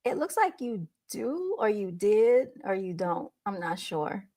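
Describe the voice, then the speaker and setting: A woman's voice: medium pitch, big pitch swings, measured pace, warm tone. Light-voiced woman chatting on a livestream. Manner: chatty, informal, addresses viewers as y'all. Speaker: livestream host. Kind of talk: casual livestream chat with viewers. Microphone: speaking into a microphone.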